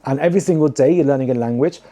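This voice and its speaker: Camp British drag host, dark tone. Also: male voice, rapid-fire. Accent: British English. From United Kingdom. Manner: camp, theatrical, swings into sudden high exclaims; many "um" fillers, chatty and self-mocking. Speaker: drag queen podcast host. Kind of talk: chatty solo talk to camera.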